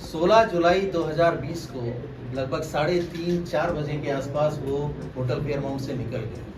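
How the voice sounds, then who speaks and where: A man with a slightly high voice speaks to the press in a deliberate, emphatic way, repeating key phrases for stress. He is picked up from some distance in an echoey room, with clear noise around him. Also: steady tone. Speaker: former political aide making a public statement. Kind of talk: press conference statement.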